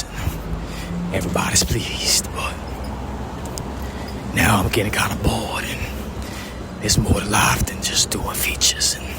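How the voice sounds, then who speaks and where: A man delivering a rambling, deadpan comic monologue, repeating himself in a slow, half-whispered way and boasting about his voice. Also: fairly low pitch. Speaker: comedic vlogger. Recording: loud traffic noise behind.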